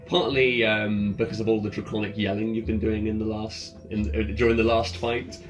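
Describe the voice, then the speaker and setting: A man improvising narration as game master of a tabletop game, halting and amused as he goes. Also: on the low side, flat delivery. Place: in-person tabletop game session. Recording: loud ambient music under the voice. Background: ambient music.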